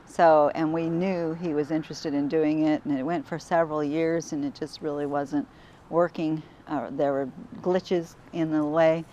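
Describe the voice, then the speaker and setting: A woman's voice: sits low, fairly even intonation, moderate pace, warm tone, dull audio, slight background noise. A woman from the university speaking in an interview in a matter-of-fact way.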